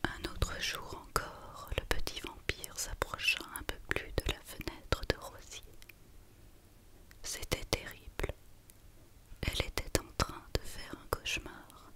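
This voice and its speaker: A woman whispering a children's story in ASMR style, reading slowly and deliberately with pauses. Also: pushed and bright. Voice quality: unvoiced whisper.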